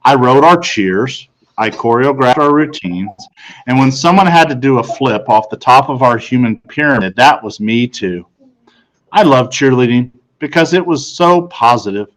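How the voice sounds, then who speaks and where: A man's voice: medium pitch, animated, normal speed, low-quality recording. Man reading a school storybook aloud. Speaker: audiobook reader. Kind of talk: first-person storybook narration read aloud.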